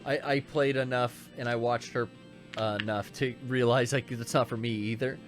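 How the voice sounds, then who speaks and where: A man's voice: mid-range voice, moderate pace. A man in his thirties co-hosting a gaming stream, chatting casually while he plays and reacting aloud to the game. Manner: lively, reacts loudly to gameplay. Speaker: co-host playing a video game on stream. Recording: game music playing behind.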